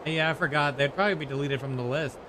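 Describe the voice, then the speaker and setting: A man's voice: fairly high pitch, quick pace, noisy background. A man giving casual, offhand live commentary as he plays a video game, muttering short reactions. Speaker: gaming streamer.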